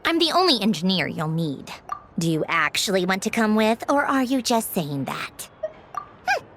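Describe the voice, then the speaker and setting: A sardonic woman engineer in command for a video game. Her delivery is animated and swings widely in pitch. She is blunt and easily exasperated, used to giving orders. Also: normal speed, a little hiss or hum. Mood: resigned, businesslike. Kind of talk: video game character dialogue.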